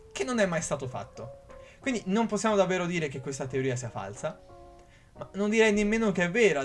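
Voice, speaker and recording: A young man in his twenties with a slightly higher voice reads a prepared explainer script aloud. He stumbles now and then over a word. Soft music plays faintly underneath him. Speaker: YouTube narrator.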